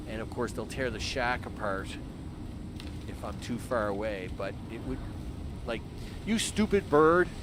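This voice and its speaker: A man in his early fifties streams a game live, chatting loosely to himself and his viewers with plenty of "uh" and "you know". His voice sits at a medium pitch, and steady noise hums under the recording. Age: 50s. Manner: rambling, chatty, talks to himself and chat with lots of fillers. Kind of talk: casual gameplay commentary.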